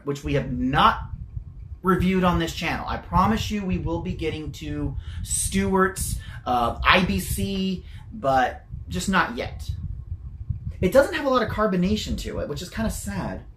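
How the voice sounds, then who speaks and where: A man's voice: a little high, fairly bright tone, a little hiss or hum, rough recording. A man casually reviewing sodas on his channel, chatty and prone to rambling tangents, with the odd swear word and no regional accent. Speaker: soda reviewer.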